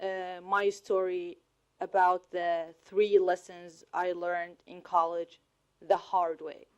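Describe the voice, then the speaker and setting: Slow, deliberate woman, Arabic native. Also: fairly low pitch, flat delivery, smooth, muffled recording. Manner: slow, deliberate, laughs often. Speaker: student speaker. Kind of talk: speech to a college audience. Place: college hall.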